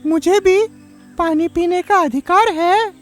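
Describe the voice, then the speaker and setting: A woman's voice: very high-pitched, takes it slowly, faint music behind. A woman voicing a young male frog, polite and pleading, in a children's cartoon story. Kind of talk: Hindi children's animated story acting.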